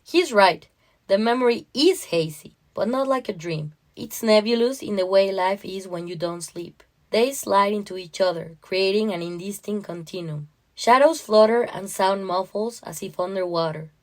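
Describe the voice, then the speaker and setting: A woman narrator reading a first-person literary short story in an expressive, dramatic way. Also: mid-range voice, normal speed.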